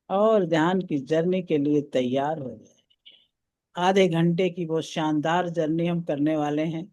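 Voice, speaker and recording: A man leading a guided meditation as a teacher, giving soothing, deliberate instructions. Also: fairly high pitch, darkish tone. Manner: soothing, deliberate, counting out breaths and steps.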